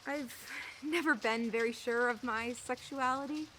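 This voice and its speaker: Actress as a talkative divorced neighbor, fairly high voice, self-mocking, laughs a lot, radio play. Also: female voice, measured pace, a bit bright, slight background noise, rough recording. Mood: candid. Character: chatty divorced woman neighbor, self-deprecating.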